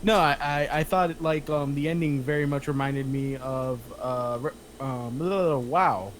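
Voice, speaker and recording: A man's voice: medium pitch, moderate pace, a little hiss or hum. A man chatting casually as he gives reader feedback, hesitant and searching for his words. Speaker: panelist, script reader.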